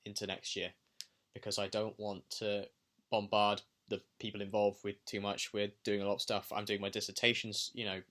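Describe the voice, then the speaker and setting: A man's voice: fairly low pitch, little pitch movement, normal speed, fairly bright tone. A young adult man talking reflectively in a personal video diary, pensive and hesitant as he thinks aloud. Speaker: vlogger and amateur filmmaker.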